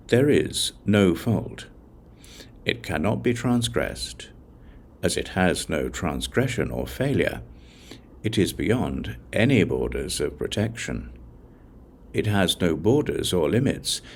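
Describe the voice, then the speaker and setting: A man reads scripture as a scripted audiobook narration, in a measured, solemn reciting manner. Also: low voice, a little hiss or hum. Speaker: audiobook reader.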